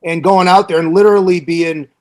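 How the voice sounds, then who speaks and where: Chatty Chicago man, a bit high. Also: steady tone, brisk, a little mellow. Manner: chatty, laughs often. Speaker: former pro wrestler, guest. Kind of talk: casual interview chat.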